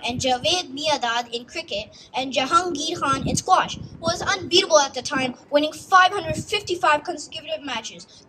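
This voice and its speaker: High-voiced young speaker, earnest recital. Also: female voice, pushed and bright, slight background noise, low-quality recording. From United States. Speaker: youth speaker. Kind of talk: prepared speech read aloud. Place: outdoor stage in a park.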